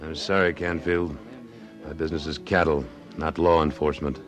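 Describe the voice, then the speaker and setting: Tough western cattleman, low voice, deadpan, unbending, old radio drama, faint music. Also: normal speed. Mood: dry, firm. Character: tough, dry, independent cattleman of the Old West. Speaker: lead actor and narrator. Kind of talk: 1950s radio western acting. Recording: vintage radio drama recording.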